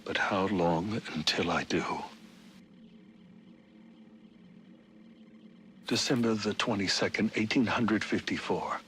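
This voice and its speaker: Man acting as theatrical Victorian gentleman diarist, faint noise. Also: fairly low pitch, close to an everyday voice. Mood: grave, worried. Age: middle-aged. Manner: formal, archaic, dramatic diction. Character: Victorian gentleman city founder, solemn, theatrical, scheming diarist. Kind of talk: dramatic journal narration in a video game.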